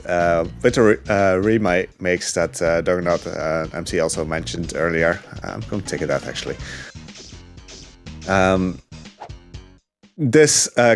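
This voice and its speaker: A man commentating on a speedrun from his couch at home, chatty and relaxed with lots of fillers. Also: deep voice. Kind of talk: casual gameplay commentary. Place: home living room couch.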